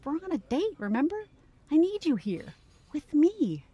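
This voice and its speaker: An actress playing a sharp, playful but concerned woman in an audio drama, with a deliberate, pointed delivery. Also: sits high, very animated, dry recording, dull audio.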